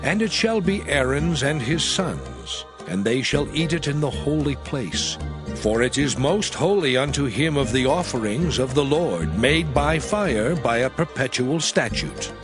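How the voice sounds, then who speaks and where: Solemn bible lawgiver, declamatory, measured, pitch moves a lot, music under it. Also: male voice, very animated. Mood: solemn, authoritative. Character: solemn male lawgiver pronouncing divine statutes. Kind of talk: dramatized scripture reading.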